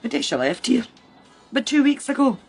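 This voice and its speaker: A woman's voice: high-pitched, sing-song, fairly quick, vocal fry, darkish tone, soft background music, full character voice. An actress playing a harassed, blunt working-class mother with a Scottish accent in a radio crime drama. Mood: earnest, upset. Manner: blunt, emphatic, colloquial.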